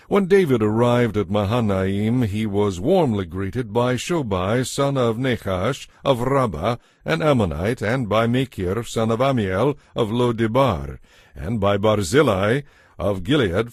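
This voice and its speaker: A man narrating a dramatized audiobook in a measured, solemn storytelling manner. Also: medium pitch, animated, dry recording, studio quality. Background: faint music.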